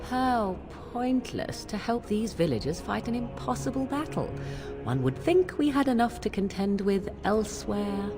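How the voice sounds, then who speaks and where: A woman's voice: medium pitch, sing-song, measured pace, in a large hall, music in the background. An actress playing a sardonic, aloof woman mage in a game. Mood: scornful.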